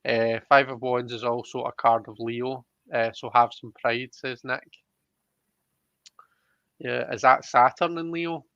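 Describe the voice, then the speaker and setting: A man's voice: medium pitch, fairly even intonation, unhurried, creaky, pushed and bright, clean tone, dry recording, dull audio. A man hosting a live tarot reading in a chatty, jokey way, reading out viewer comments as he goes. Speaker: host of a livestream tarot reading. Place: indoors, online livestream.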